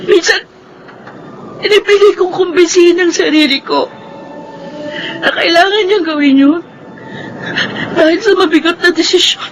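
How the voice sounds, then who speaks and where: An actress playing a melodramatic young orphaned daughter, wailing with grief in a Filipino radio drama, with a Filipino accent. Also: female voice, very high voice, normal speed, long pauses, slight background noise, low-quality recording. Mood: anguished, tearful. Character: grief-stricken young orphaned adopted daughter, angry at God.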